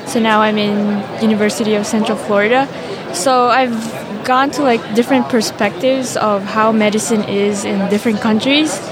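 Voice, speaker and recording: A woman's voice: mid-range voice, fairly even intonation, moderate pace. A young premed woman from the Philippines, chatting eagerly in an outdoor interview. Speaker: premed student. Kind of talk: vox pop interview. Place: outdoor event. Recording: outdoor event with crowd chatter. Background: crowd chatter.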